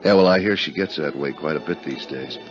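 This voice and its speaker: Agreeable male drinking buddy, deep and unhurried in manner, casual, muffled fifties radio drama. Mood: easygoing. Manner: casual, laconic. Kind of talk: 1950s radio drama acting. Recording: dull 1950s radio, loud music behind.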